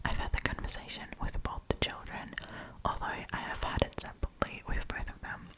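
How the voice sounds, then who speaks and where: A woman whispering softly as an ASMR host, reading stories and chatting casually with listeners. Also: a little fast. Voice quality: soft unvoiced whisper.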